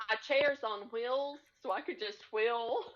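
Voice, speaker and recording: A woman speaking as a podcast guest in relaxed, casual conversation over a video call with slightly muffled sound. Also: a little high, unhurried. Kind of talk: casual chat about sewing. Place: indoors, split-screen video call. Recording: slightly dull, lo-fi call audio.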